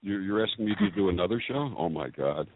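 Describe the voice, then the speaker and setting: Joking husky male co-host. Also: low voice, fast, no room sound. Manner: joking, animated. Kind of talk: casual radio chat. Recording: tinny phone-like line.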